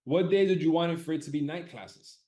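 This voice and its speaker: A man's voice: fairly high pitch, a little fast, warm tone. A man running a live audience poll about class times, casually thinking aloud, loose and profane, often repeating himself.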